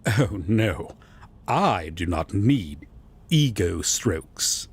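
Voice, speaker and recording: A man playing a prim, indignant yet devoted angel roommate in a romantic audio roleplay, with a breathy, husky voice and fussy, formal diction. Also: lowish voice, big pitch swings, drawn-out words, heavily acted. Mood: flustered, defensive.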